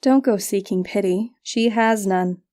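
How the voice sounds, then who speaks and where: A woman voicing a cool, merciless witch sister in a fantasy audiobook, speaking with smooth, deliberate condescension. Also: medium pitch, mellow, close to an everyday voice. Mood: condescending.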